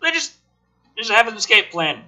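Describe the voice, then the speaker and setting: A man's voice: high-pitched, sing-song, normal speed, pushed and bright. A man reading a screenplay aloud in a theatrical, emphatic way, dropping into casual, sweary, sardonic commentary. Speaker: host reading and commenting on a film script.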